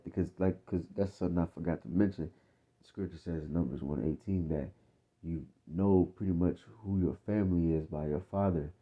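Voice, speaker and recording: American man, low deep voice, informal preaching, blunt, profane, repetitive street talk, narrow phone-quality recording. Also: breathy edge. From United States. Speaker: religious teacher. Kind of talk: informal off-the-cuff religious teaching. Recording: muffled, narrow phone-like sound.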